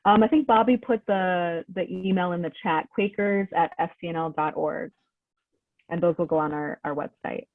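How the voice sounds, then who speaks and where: A woman's voice: on the low side, normal speed, no echo. A woman presenting a workshop over a video call, speaking in an informative, affirming way. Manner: informative, affirming, uses "um" a lot. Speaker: workshop presenter from an advocacy group. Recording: tinny, dry phone-like audio.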